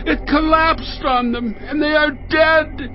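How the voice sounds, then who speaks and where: A man's voice: very high-pitched, steady tone, takes it slowly, faint music behind. A man voicing a grief-stricken messenger who reports through tears in a dramatized scripture reading. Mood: distraught. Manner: crying, desperate. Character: grief-stricken male messenger, young adult. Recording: dull lo-fi recording.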